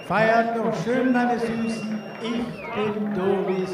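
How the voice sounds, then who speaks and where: A man's voice: very high voice, fairly even intonation, slow pace, noisy background. An actor playing a drag queen addressing a crowd from the stage in a radio drama, grand and theatrical. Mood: grand, festive. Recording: echoey, distant stage sound. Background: music and a crowd.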